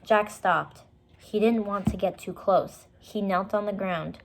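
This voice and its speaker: Female children's storybook reader, unhurried pace, expressive and dramatic, mid-range pitch. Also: vocal fry, a little hiss or hum. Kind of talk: expressive read-aloud narration.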